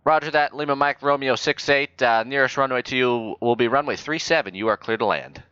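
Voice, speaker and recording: A man speaking as a mock-official air traffic controller and announcer, improvising in a deadpan manner. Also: mid-range voice, brisk, smooth, no echo, highs cut off. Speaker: flight simulator player acting as air traffic controller and airshow announcer. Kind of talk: improvised radio talk and mock commentary.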